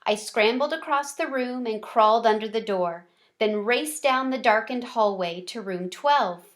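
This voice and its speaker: A woman narrates a children's story in a somewhat high voice. She reads expressively, leaning on key words as a read-aloud storyteller does. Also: measured pace.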